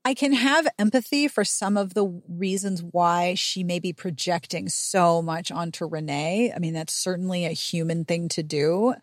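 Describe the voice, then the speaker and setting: A woman hosting a podcast, giving a chatty book recap with animated, sarcastic and sweary commentary. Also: fairly low pitch, moderate pace, few pauses, no room sound, studio quality. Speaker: podcast host.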